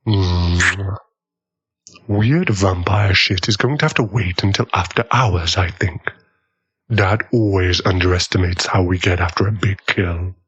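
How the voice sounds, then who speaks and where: A man's voice: deep voice, a bit bright, husky, muffled recording, full character voice. A man playing a smug, possessive young vampire in an ASMR boyfriend roleplay, teasing and coolly menacing. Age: young adult. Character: smug, possessive young adult male vampire, doting and predatory.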